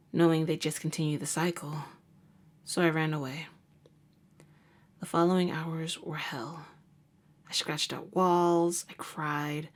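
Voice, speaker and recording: A woman acts out a jaded vampire huntress who works as a nurse, in an ASMR audio drama. Her voice sits low for a woman. She speaks intimately and wryly, often sinking into breathy murmurs, and a caring streak shows beneath the hardened front. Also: heavily acted. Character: jaded, wry female vampire hunter and nurse, secretly caring. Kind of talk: ASMR roleplay monologue.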